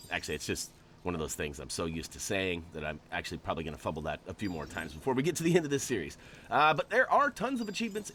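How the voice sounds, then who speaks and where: Man, nasal and stuffy, joking gameplay commentary, animated pitch, faint hiss behind. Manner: chatty, joking, rambling asides. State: stuffy from allergies. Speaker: gaming channel host.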